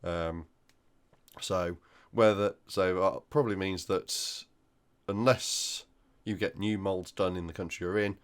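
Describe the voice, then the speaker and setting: A man with a croaky voice from flu, hosting a hobby news roundup in a casual, hesitant way with many ums. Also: sits low, fairly even intonation, slow pace. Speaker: hobby channel host.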